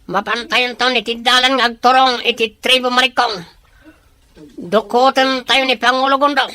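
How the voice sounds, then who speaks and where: Man acting a bright, tense obedient henchman. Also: very high voice, full character voice, low-quality recording. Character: obedient male henchman, dutiful leader of ambushers. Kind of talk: Filipino radio-style drama acting. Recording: music under the voice.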